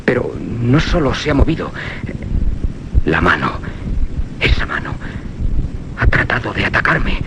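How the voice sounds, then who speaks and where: An actor playing an earnest, rattled young medical student in a radio drama, with a Castilian Spanish accent and an insistent delivery. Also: male voice, lowish voice, big pitch swings, measured pace, pauses often, noisy background. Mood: tense, secretive. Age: young adult.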